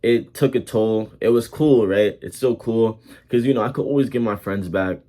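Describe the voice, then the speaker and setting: Young man, lowish voice, earnest, repetitive, slangy motivational talk. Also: normal speed, mellow. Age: 20s. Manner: earnest, repeats himself, casual slang. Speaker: vlogger giving life advice. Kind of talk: casual motivational monologue.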